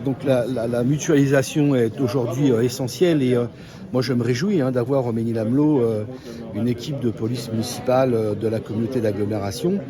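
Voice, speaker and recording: A man speaking as a mayor in a formal interview statement, deliberate, with many pauses. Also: medium pitch, fairly even intonation. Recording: clear crowd chatter behind the voice. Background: crowd chatter.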